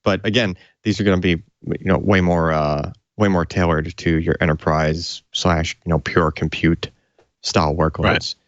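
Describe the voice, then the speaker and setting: A man co-hosting a tech podcast, chatting casually and haltingly, repeating words and leaning on filler phrases. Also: low voice, fairly even intonation, no echo, dull audio. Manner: halting, repeats words, peppered with "you know". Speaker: podcast co-host.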